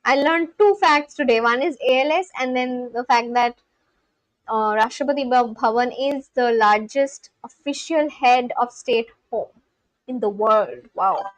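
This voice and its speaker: A young woman with a Delhi Indian English accent, chatting casually with her livestream viewers, reading their comments aloud with quick apologetic asides. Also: on the high side, no echo, rough recording. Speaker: live streamer.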